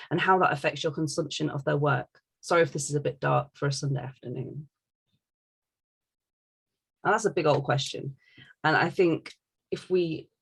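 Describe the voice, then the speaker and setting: A woman's voice: sits low, gravelly, breathy edge. A woman hosting a casual, chatty podcast. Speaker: podcast host reading listener questions.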